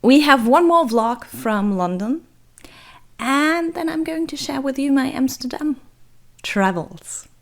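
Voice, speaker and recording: A young woman from Germany with a hoarse, raspy voice and vocal fry, chatting through a travel vlog voice-over in a rambling, self-deprecating way. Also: high voice, expressive, slow pace. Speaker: travel vlogger. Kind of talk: voice-over narration.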